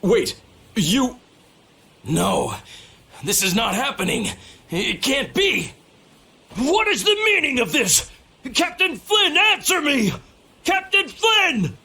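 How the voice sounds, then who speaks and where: A man voicing a pompous, excitable knight officer in a video game, theatrical and formal, with some noise behind him. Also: extremely high pitch, slow, gravelly, pauses often, heavily acted. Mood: shocked, distraught.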